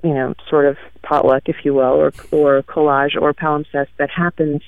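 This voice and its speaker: A man talking about his work in a halting, thoughtful way, with many fillers, as a guest on an interview show, heard over a tinny line. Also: a little high, little pitch movement, smooth, dry recording. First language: French. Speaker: guest author. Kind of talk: casual interview chat. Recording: tinny phone-like radio line.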